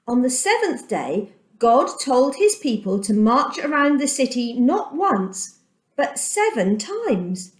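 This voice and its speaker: Female children's storyteller, fairly high voice, very animated melody, dramatic deliberate phrasing, recorded in a roomy space. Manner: deliberate, dramatic emphasis with repeated pauses. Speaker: storyteller reading a children's Bible aloud. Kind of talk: expressive children's storytime reading. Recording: echoey room.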